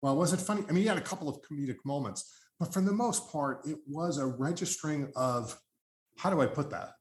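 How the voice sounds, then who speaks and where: A man's voice: a little high, fairly quick. Earnest older man in an echoey room. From Long Island, New York. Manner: rambling, self-correcting, earnest. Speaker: podcast host. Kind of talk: casual interview chat.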